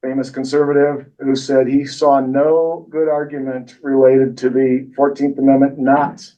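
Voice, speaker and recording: A man hosting a community meeting in a room, making announcements in a relaxed, joking way. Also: mid-range voice, measured pace. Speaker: meeting host. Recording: dull audio, voice from far off.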